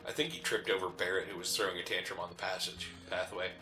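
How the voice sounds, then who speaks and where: A man's voice: low-pitched, fairly even intonation, fast talker, pushed and bright, faint music behind. A man co-hosting a gaming show, chatting casually and reacting as the game is played. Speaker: gaming podcast co-host. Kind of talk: casual banter while playing a video game.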